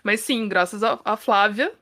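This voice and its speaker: Brief: thirtyish São woman co-host, mid-pitched, talkative and friendly with the audience. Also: measured pace, pushed and bright. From São Paulo, Brazil. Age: 30s. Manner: chatty, laughs often, frequent tag questions. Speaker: podcast co-host. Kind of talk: casual podcast chat.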